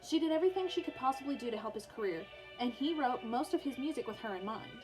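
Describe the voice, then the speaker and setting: A woman's voice: high-pitched, expressive, quick pace, over music, rough recording. A woman teacher reading a children's biography aloud for online learners, in storybook narration with informal, chatty asides.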